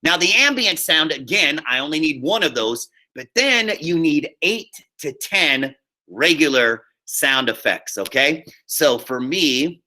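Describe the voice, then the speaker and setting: An American man with a fairly high, very bright and forward voice teaches a casual online class. He talks like a chatty high school teacher, walking through steps on screen and checking in often. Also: lively intonation, measured pace. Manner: chatty, checks in often with okay and all right. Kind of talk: casual instructional screen-share lesson. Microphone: computer mic on a video call.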